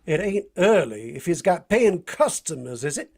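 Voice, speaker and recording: A man's voice: on the high side, sing-song, normal speed, creaky, a little mellow, breathy edge, full character voice. A man acting a brash, ornery, teasing adventurer in an old-time radio drama, with an American accent and folksy drawling slang. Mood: demanding.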